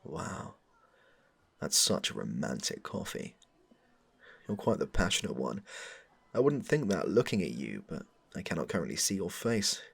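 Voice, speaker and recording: A man's voice: big pitch swings, normal speed, pauses often, full character voice. A man playing a smitten, rambling suitor with a shady air in a comedic audio roleplay, stammering and overdramatic as he speaks to the listener. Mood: smitten, earnest. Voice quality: low, gravelly, husky. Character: smitten, rambling, darkly suspicious man meeting his crush.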